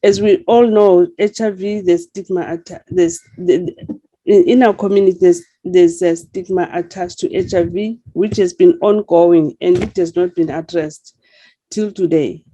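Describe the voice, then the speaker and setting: A woman's voice: fairly low pitch, fairly even intonation, moderate pace, warm tone, no echo. A woman gives a conference presentation as a community advocate over dull, muffled online-call audio.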